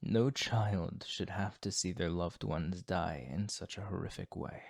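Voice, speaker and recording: Guy doing a sad solemn vampire asmr, really deep voice, slow and measured, fighting bloodlust. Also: gravelly, dry recording, studio quality, exaggerated voice. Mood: haunted, grieving. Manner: solemn, formal, measured, hushed. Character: brooding, remorseful male vampire fighting his bloodlust. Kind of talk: intimate ASMR roleplay monologue.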